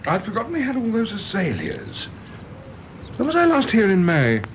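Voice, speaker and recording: Aloof, highly animated English diplomat. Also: male voice, normal speed, a little hiss or hum, low-quality recording. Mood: testy. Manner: clipped, pompous, formally polite. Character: irritable, aloof English diplomat, weary grown son. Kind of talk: stage-play radio drama acting.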